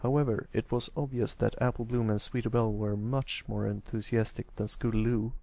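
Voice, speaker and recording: A man narrating a story as an amateur audiobook reader, in an expressive scripted reading style. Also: on the low side, fairly quick, vocal fry.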